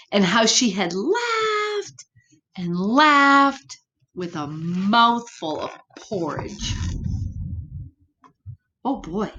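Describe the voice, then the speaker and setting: Woman storyteller for little kids, unhurried and highly animated, gently expressive, speaking straight to the children. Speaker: children's story reader, teacher. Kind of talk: read-aloud storytime for young children, with chatty asides. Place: room at home.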